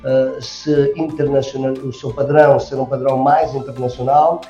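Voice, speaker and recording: A man's voice: mid-range voice, little pitch movement, normal speed, mellow, faint music behind. A man of about sixty with a European Portuguese accent, answering interview questions hesitantly, with many fillers and restarts. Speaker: resort general manager, guest. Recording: roomy, dull sound.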